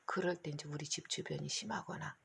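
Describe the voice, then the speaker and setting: A middle-aged woman vlogging to her phone in a rambling, anxious monologue, her voice hoarse and her throat catching. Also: sits low, a little fast, a bit bright, slight background noise. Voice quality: gravelly, husky. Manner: rambling, anxious, talks in long run-on stretches. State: hoarse, keeps clearing throat. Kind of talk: casual monologue to camera.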